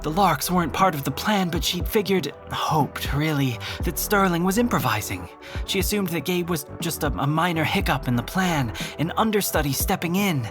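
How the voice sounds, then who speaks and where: A narrator delivering measured, scripted third-person storytelling for an audio drama. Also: medium pitch, lively intonation, lightly acted. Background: music.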